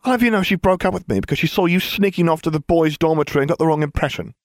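A man's voice: fairly high pitch, very fast, no room sound, pitched above the performer's own voice, close to an everyday voice. A man voicing a sulky, grumbling teenage boy in a storybook reading, sarcastic and accusing.

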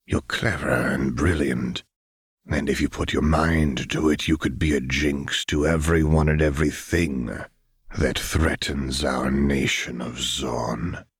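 A man voicing a calm, calculating father figure with soft menace in an audiobook, speaking in a measured, deliberate way. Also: a bass voice, breathy edge, no room sound, studio quality, pitched below the performer's own voice, heavily acted. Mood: predatory coaxing.

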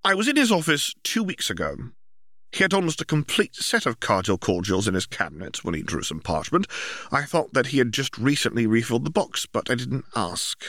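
A man's voice: mid-range voice, sing-song, fairly quick, a bit bright, no room sound, clean studio sound, pitched above the performer's own voice. A man voicing an officious, earnest young bureaucrat, a diligent older brother who talks formally and insistently in an audiobook story. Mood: guilty, agitated.

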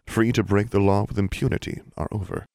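A man voicing a cold, quietly menacing male official. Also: lowish voice, steady tone, brisk, gravelly, no echo, studio quality.

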